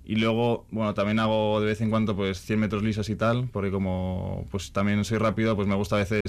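A young male athlete with a northern Spanish accent. His voice is smooth, clear and fairly low. He answers casually, as a guest in an interview. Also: little pitch movement, brisk. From Vitoria-Gasteiz, Basque Country, Spain. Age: young adult.

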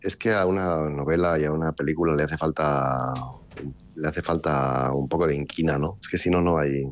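A man with a very low voice, from northern Spain, chatting about his writing in a loose, wry way that circles back on itself. The sound is dull and lo-fi. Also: steady tone, moderate pace. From Basque Country, Spain. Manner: rambling, many restarts and fillers, wry. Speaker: author. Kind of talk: relaxed interview talk.

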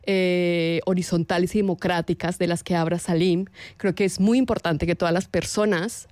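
A woman with a Colombian accent, speaking as a guest in a radio interview and talking thoughtfully, with pauses and fillers. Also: fairly low pitch, steady tone, darkish tone, no room sound. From Latin America.

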